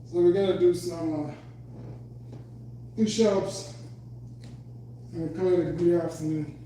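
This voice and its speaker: A slightly hoarse man with a mellow, fairly high voice talks casually between exercise sets, rambling loosely. He sounds set back from the mic in a very echoey room, with a faint hum underneath. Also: steady tone, slow, recorded from a distance. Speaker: fitness vlogger. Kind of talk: casual workout chat. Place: home workout room.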